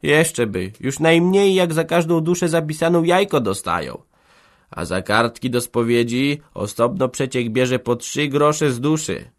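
A man voicing a sharp-tongued old village woman who gossips and mocks, in a storybook reading. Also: mid-range voice, lively intonation, measured pace, pitched above the performer's own voice, close to an everyday voice. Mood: scornful. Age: older. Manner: mocking, biting.

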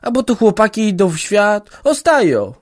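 A man's voice: high voice, sing-song, moderate pace, no room sound, studio quality, pitched above the performer's own voice. A man voicing a stern, anxious old peasant mother who scolds and lectures in folksy speech. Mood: scolding.

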